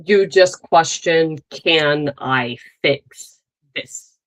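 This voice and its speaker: A woman in her thirties with a low voice, talking slowly and unhurriedly. She rambles through her point, circling back with fillers and restarts as she co-hosts a casual chat. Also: rough recording. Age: 30s. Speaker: podcast co-host.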